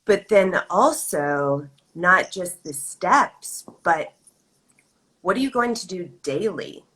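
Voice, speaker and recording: A woman hosting a live video chat, thoughtful and measured, asking her guest questions. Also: on the low side, lively intonation, a bit bright, rough recording. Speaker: host. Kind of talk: casual live-stream interview.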